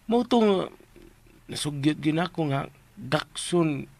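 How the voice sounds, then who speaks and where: An actor playing a cheeky, simple-minded, meddling household helper with a Cebuano accent and a raspy voice in a comic radio drama. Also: medium pitch, animated, slow, a little mellow. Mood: insistent, pleading.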